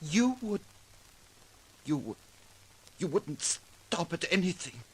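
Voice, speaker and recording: An actor playing a frightened, weak-willed musician in a 1940s radio drama, halting, trembling and nervously pleading. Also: male voice, on the high side, big pitch swings, breathy edge, exaggerated voice. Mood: fearful submission. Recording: hissy, clicking 1940s radio recording.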